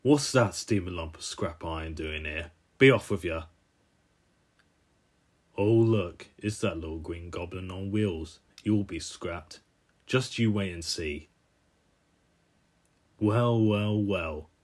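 A man's voice: low-pitched, slow, long pauses. A man voicing a scornful talking vehicle, a cartoon bully with a contemptuous, mocking manner. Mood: sneering. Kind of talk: amateur cartoon character voice reel.

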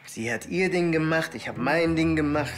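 A surly layabout fresh from sleep, acted by a man in a TV crime comedy. He is offhand and grumbling, slightly high-voiced, with music clearly underneath. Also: normal speed, close to an everyday voice. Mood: indifferent. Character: surly, indifferent layabout man, just woken.

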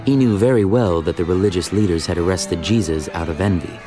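Low-voiced male scripture narrator. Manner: measured, storytelling delivery. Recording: music behind the voice.

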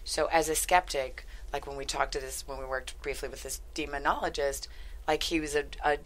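A woman chatting casually as an interviewed screenwriter, often halting and correcting herself. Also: low voice, steady tone. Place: talk-show studio couch. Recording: faint noise, studio ambience.

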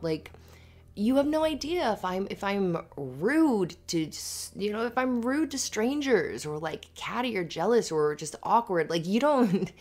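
A woman playing an affectionate, playful girlfriend in an intimate ASMR roleplay, soft-spoken and hesitant. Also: on the low side.

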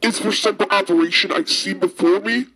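A performer voicing an excitable, starstruck pet hamster in an amateur cartoon fandub, gushing theatrically. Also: medium pitch, normal speed, husky. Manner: breathless gushing, theatrical exclamations.

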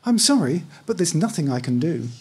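A man with a husky voice voicing a polite, officious female parenthood officer in a story reading. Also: fairly high pitch, sing-song, fairly quick. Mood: apologetic, officious. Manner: polite, scripted customer-service sympathy.

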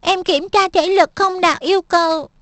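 A whiny little cartoon boy, acted by a woman, small and frail, sulking yet trusting his big brother. The voice sits very high and sounds close and dry. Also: fairly even intonation, moderate pace, dry recording. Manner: whiny, soft. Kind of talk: cartoon dub.

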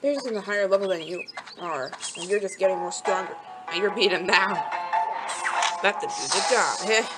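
An excitable woman chats her way through a mobile game, talking back at the characters and piling up repeated words. Game music plays clearly underneath her voice. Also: mid-range voice, fairly quick. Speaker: gameplay commentator. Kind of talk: casual let's-play commentary.

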